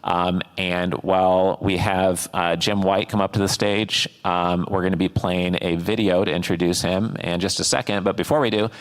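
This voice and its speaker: A man hosting a conference fireside chat, upbeat and quick with praise, his speech full of fillers. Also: deep voice, flat delivery. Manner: upbeat, filler-heavy wrap-up talk. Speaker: host. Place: conference stage.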